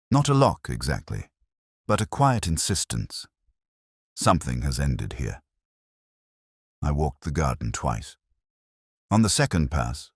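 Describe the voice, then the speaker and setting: A man with an extremely low, slightly husky voice narrates a detective story. His delivery is reflective and measured, yet his pitch moves in a lively way through each literary sentence. The recording is dry and close. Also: no echo. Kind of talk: first-person scripted detective story narration.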